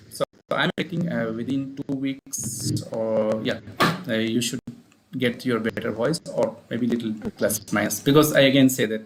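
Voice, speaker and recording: A man's voice: medium pitch, slight background noise, poor audio. Indian man giving advice on a stream. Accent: Indian English. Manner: measured, repeats points, frequent uh fillers. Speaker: speech therapist hosting a live stream. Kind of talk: live-stream talk and advice. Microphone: online live stream.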